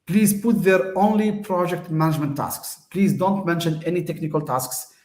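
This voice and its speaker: A man from Tunisia hosts a casual chat, explaining things with plenty of uhs and false starts. His voice sits a bit high for a man. The room around him rings with echo. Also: normal speed, a little mellow. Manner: hesitant, many fillers and restarts. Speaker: host.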